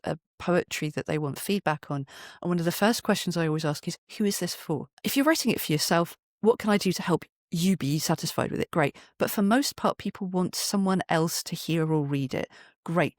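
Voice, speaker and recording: A middle-aged guest on a podcast speaks in an emphatic, storytelling way. The voice sits at a medium pitch and is recorded dry, with very little room sound. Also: no echo. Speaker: interview guest, voice actor and poet. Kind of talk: conversational podcast interview.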